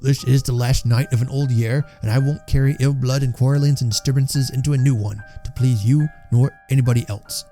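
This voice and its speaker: A man voicing a stout, bullying landlord in a story, softly menacing, sarcastic and scolding. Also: medium pitch, fairly even intonation, a little fast, few pauses, soft background music, lightly acted. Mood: scolding menace. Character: smug, bullying stout landlord feigning soft politeness.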